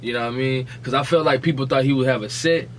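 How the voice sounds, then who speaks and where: A man's voice: medium pitch, fast talker, a little hiss or hum. An American man co-hosting a podcast, chatting casually in slang and reasoning aloud in a conversational way. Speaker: podcast co-host.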